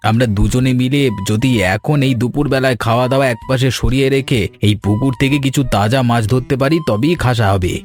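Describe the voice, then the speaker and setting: A man acts an enthusiastic young son-in-law in an animated folk tale. He is earnest and emphatic at an ordinary male pitch, with light music in the background. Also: fairly quick, hardly any pauses, natural voice.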